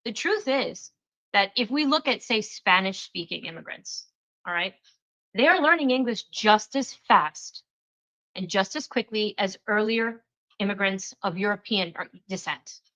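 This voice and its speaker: A woman's voice: medium pitch, lively intonation, unhurried, pushed and bright. A woman co-hosting a casual talk show, explaining her points earnestly and with emphasis. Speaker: co-host. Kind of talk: casual chat. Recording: slightly dull lo-fi recording.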